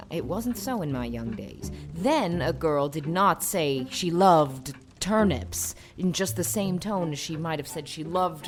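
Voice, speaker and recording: A woman's voice: low-pitched, voice pushed down. A woman voicing a grim, blunt old lady of seventy in an audiobook, with stern, emphatic, old-fashioned pronouncements. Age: older. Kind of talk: audiobook character voice.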